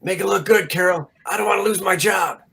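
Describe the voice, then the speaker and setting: A man's voice: sits high, a little fast, gravelly, bright, forceful tone, breathy edge, exaggerated voice. A man playing a nervous, crooked jail guard with slangy tough talk in a 1940s radio drama. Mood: conspiratorial.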